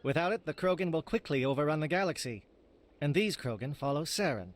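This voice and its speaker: A stern alien captain from a video game voiced by a man, speaking quickly in a slightly high male register. He is formal and resolute, briefing in clipped, urgent military phrases. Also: lively intonation, close to an everyday voice.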